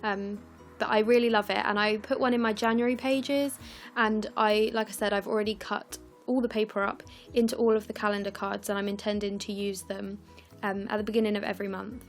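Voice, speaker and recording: A young woman from the UK, croaky and scratchy, chatting through her scrapbooking as a casual voice-over, with lots of ums and apologies. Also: medium pitch, little pitch movement, fairly quick, soft background music. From United Kingdom. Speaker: scrapbooking vlogger. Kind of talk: casual process video voice-over.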